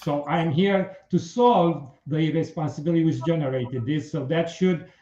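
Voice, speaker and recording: A man's voice: on the high side, moderate pace, mellow. Muffled, roomy male voice, Romanian accent. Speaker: political candidate, interview guest. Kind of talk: prepared political pitch in a radio interview. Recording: muffled, roomy radio interview sound.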